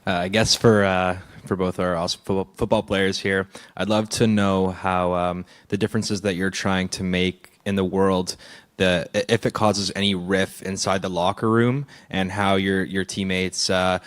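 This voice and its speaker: A man in an audience politely asking an unscripted question, in a halting way. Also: deep voice, level tone.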